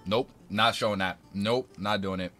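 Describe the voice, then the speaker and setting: A man's voice: lowish voice, fairly even intonation, normal speed, fairly bright tone, soft background music. A young American man giving casual gameplay commentary, with blunt, slangy reactions. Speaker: game streamer.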